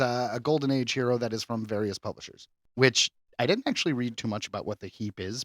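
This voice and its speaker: A man's voice: mid-range voice, a bit bright, dry recording, clean studio sound. A man hosting a pop culture quiz on a podcast in a casual, chatty style, announcing questions and verdicts theatrically. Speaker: podcast host and quizmaster.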